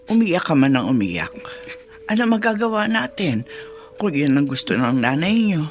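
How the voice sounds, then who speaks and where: A woman's voice: low voice, big pitch swings, normal speed, a little hiss or hum. A woman playing a fretful, outspoken old grandmother in a radio drama, with exclamatory, emphatic delivery. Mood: resigned, sorrowful. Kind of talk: Filipino radio drama acting.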